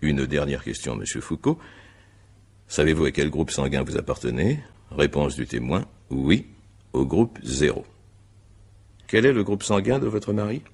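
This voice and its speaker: A methodical, courteous but insistent magistrate in a radio mystery. His voice is extremely deep and husky, with lively swings of intonation. The phrasing is formal and deliberate. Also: heavily acted. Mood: methodical, probing. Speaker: examining magistrate. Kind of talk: French radio mystery drama acting.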